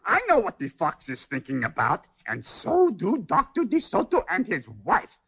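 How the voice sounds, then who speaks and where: Young male story listener, acted by a woman: raspy, extremely animated, earnest and outspoken. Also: fairly low pitch, normal speed, full character voice. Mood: certain, blunt. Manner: earnest, emphatic, interjecting.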